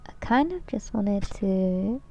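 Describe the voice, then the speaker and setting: A woman's voice: mid-range voice, lively intonation, slow pace, gravelly, warm tone, lo-fi sound. A young woman streaming as a VTuber, chatting casually and hesitantly with lots of fillers, her voice nasal from a cold. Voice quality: hesitant, many fillers and restarts. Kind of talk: rambling casual chat.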